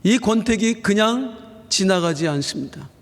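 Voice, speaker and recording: A male senior pastor preaching a Sunday sermon in earnest, slowing down for emphasis and rising on key phrases. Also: high voice, animated. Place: church sanctuary. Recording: reverberant church hall.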